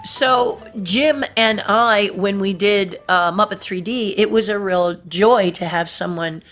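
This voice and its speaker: A middle-aged woman from Southern California with a deep voice, chatting about her puppetry work in an interview and laughing at her own stories. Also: slow pace, fairly bright tone, faint music behind. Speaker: puppeteer guest. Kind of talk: casual interview storytelling.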